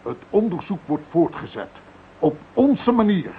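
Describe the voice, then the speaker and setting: A man acting a firm, courteous police commissioner in a radio play, speaking in a measured, formal way. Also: high-pitched, sing-song, narrow, tinny audio, heavily acted. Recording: thin band-limited radio drama recording, slight noise.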